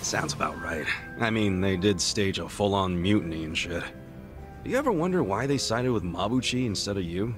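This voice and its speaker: A man's voice: low-pitched, expressive, moderate pace, music in the background. A man voicing a blunt, earnest, streetwise middle-aged underdog in a video game, slangy and frank. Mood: curious. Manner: blunt, slangy, incredulous questioning. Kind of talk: video game cutscene dialogue acting.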